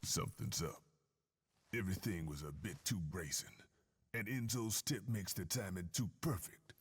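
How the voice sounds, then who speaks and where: An actor playing a cool, sardonic American bartender and weapon maker, slangy and knowing, with a very deep voice in a video game. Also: male voice, moderate pace, husky. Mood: ominous. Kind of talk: video game cutscene acting.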